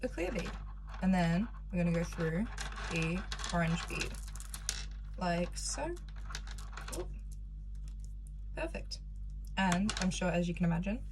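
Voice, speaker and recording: A young woman recovering from a cold, calmly walking viewers through a beading craft step by step. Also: lowish voice, slow pace, pauses often, background noise. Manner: calm, patient instructions, counting out beads. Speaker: craft tutorial maker.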